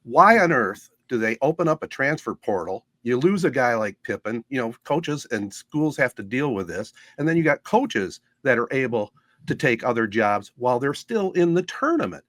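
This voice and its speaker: Male podcast host, medium pitch, dry sound, casual sports interviewer. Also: sing-song, moderate pace, a bit bright, no room sound.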